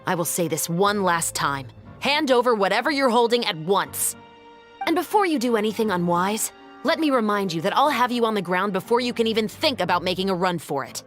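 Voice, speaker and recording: A woman's voice: medium pitch, expressive, brisk, soft background music, lightly acted. A woman playing a stern, composed young police captain in a video game, commanding, clipped and matter-of-fact. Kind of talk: video game character dialogue.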